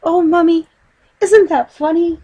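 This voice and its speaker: High, bubbly little girl for a children's story, woman performer, eager and kindly. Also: fairly even intonation, measured pace, warm tone. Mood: amused, excited. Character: excited, kindly, giggly young girl.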